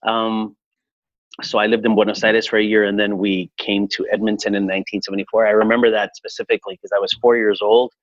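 A man in his forties from Chile, a restaurateur answering interview questions in a relaxed, reflective way with frequent fillers. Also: lowish voice, fast, no room sound, muffled recording. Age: 40s. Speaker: restaurateur guest.

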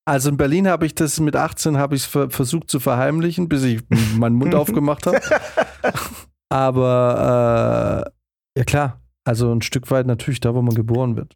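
A man's voice: mid-range voice, fairly even intonation, moderate pace, vocal fry, darkish tone, dry recording, clean studio sound. A man chatting informally as one of the hosts of a podcast. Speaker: podcast co-host. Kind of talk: casual chat.

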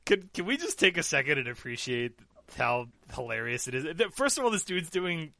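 A man hosting a casual podcast chat, energetic and laughing easily, with lots of fillers. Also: a little high, fairly quick, vocal fry, no echo. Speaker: podcast host.

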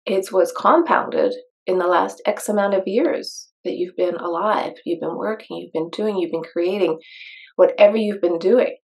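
A woman's voice: lowish voice, normal speed, darkish tone, low-quality recording. A woman hosting a personal development show, reading from a book aloud and adding conversational commentary, with rhetorical questions and emphasized key phrases.

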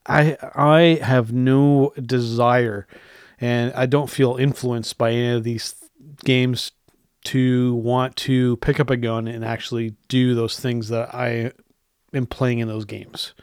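A man's voice: medium pitch, clear, resonant tone, dry recording, studio quality. A man in his late thirties hosting a casual solo tech podcast, rambling with restarts and filler phrases, blunt and willing to swear. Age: 30s. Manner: rambling, frequent restarts and "and whatnot"; blunt, swears when irritated. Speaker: podcast host.

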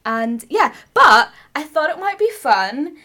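A woman's voice: high voice, sing-song, takes it slowly, sharp tone. A young woman with a Northern Irish accent co-hosting a podcast in playful, teasing casual chat. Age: young adult. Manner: playful, teasing, laughs often. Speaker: podcast co-host.

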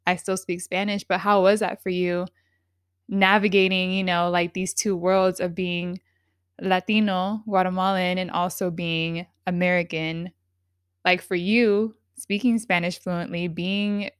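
A woman's voice: mid-range voice, clean tone, no echo, clean studio sound. A woman hosting a podcast, talking in an effusive, enthusiastic and rambling way. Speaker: podcast host. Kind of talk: casual podcast interview.

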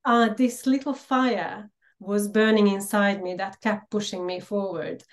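A middle-aged woman from Slovakia with a Hungarian-Slovak accent, a podcast guest telling her life story earnestly with frequent pauses. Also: medium pitch, fairly even intonation. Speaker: podcast guest, empowerment coach. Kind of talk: personal storytelling interview.

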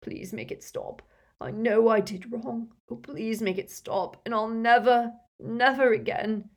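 A woman's voice: low voice, expressive, unhurried, a little mellow. Woman voicing an emphatic old wizard mentor. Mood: anguished sobbing. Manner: emphatic, insistent, moaning and groaning under torment. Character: elderly male wizard mentor, authoritative, breaking into frightened pleading.

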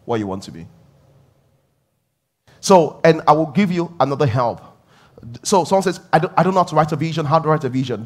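A middle-aged male pastor preaching a conversational sermon, repeating key phrases and rising in emphatic bursts. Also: mid-range voice.

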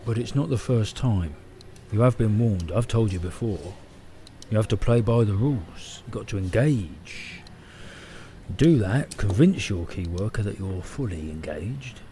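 A man's voice: on the low side, expressive, a little slow, dark tone, slight background noise. An actor playing a firm, matter-of-fact male official in an audio drama. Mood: stern.

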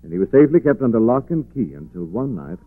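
An actor playing a suave, patient, wealthy young gentleman in a 1940s radio drama. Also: medium pitch, sing-song, quick pace, heavy background noise, natural voice. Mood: patient, explaining. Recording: muffled lo-fi 1940s radio recording.

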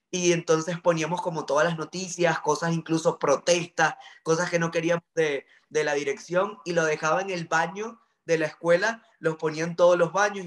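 A man's voice: on the high side, fairly bright tone. A man from Venezuela with a Maracaibo accent, a journalist telling his life story in an interview, earnest and reflective with frequent fillers. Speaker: journalist guest.

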